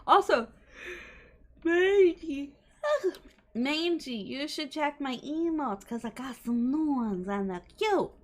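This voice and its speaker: A woman's voice: high voice, lively intonation, slow, a little mellow. A woman livestreamer talking casually and playfully, often swearing, as she hosts a game stream. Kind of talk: casual livestream chat with some game text read aloud.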